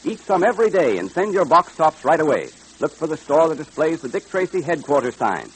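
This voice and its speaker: An American man works as an old-time radio announcer, pitching to children. His voice runs a bit high and swings with lively intonation. It has a husky edge. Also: brisk, rough recording.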